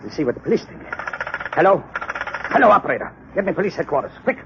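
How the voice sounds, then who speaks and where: A man's voice: sits high, very animated, quick pace. Officious laboratory man, muffled old radio. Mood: accusing, urgent. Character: officious, suspicious male sanitarium laboratory chief. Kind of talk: 1930s radio mystery acting. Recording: dull, noisy 1930s radio recording.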